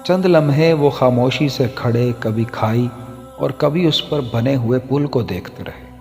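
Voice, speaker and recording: Slow-paced male storyteller in heavy reverb. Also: medium pitch, warm tone. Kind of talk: scripted moral story narration. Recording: long hall reverb, music underneath. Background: background music.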